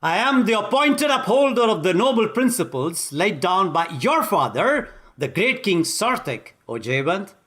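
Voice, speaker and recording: A man's voice: sits high, sing-song, measured pace, hardly any pauses, pitched above the performer's own voice, exaggerated voice. A man whose first language is Tamil, voicing a righteous warrior king who declaims in a commanding way. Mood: measured. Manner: declaiming, forceful.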